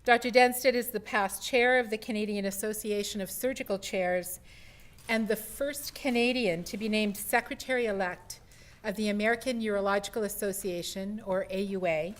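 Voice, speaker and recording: A woman's voice: medium pitch, fairly even intonation, moderate pace. A woman hosting an evening lecture, delivering a polished, formal welcome and speaker introduction to an audience in a lecture hall. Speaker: event host introducing the speaker.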